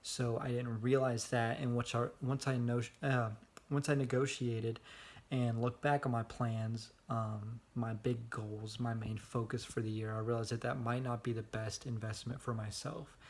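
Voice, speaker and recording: A young man talking casually into his phone for a personal vlog, rambling in a monotone with lots of fillers. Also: medium pitch, normal speed. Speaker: vlogger. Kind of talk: casual self-recorded vlog. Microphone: phone held at arm's length.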